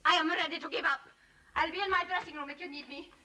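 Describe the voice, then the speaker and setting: A haughty ballet star in an old radio drama, her voice very high and piercing. She scolds theatrically with a hot temper, standing a little back from the microphone. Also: fairly even intonation, quick pace, bright, forceful tone, distant mic, a little hiss or hum, exaggerated voice. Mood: scornful. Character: imperious, sharp-tongued woman ballet star, quick to anger. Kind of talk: 1940s radio drama acting. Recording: vintage 1940s radio, off-mic.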